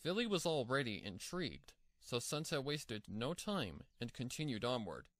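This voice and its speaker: A man reads scripted fiction as the narrator. His mid-pitched voice moves up and down a lot, giving the storytelling an animated shape. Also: normal speed, dry recording.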